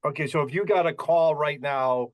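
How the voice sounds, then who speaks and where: A man's voice: on the high side, moderate pace, highs cut off. Rambling, stammering man host. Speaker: podcast host. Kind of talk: casual interview questions.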